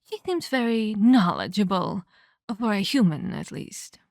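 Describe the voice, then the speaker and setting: A woman voicing an ancient female vampire in an ASMR roleplay, a measured, patronizing mentor who scolds and teases with veiled menace. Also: mid-range voice, animated, darkish tone, no room sound, studio quality. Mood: patronizing, instructive. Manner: condescending, teasing, measured, addresses listener as child.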